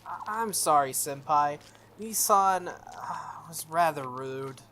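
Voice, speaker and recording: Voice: mid-range voice, expressive, slow pace, bright, forceful tone. A game player voicing a shy, polite schoolgirl from a visual novel, hesitant and apologetic. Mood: timid, polite.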